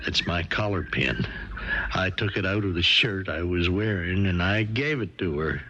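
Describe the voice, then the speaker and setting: A man's voice: deep voice, animated, moderate pace, over music. An actor playing a homespun man explaining a clue, plain and folksy, in a 1970s radio drama. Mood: earnest, sad. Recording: muffled noisy vintage radio recording.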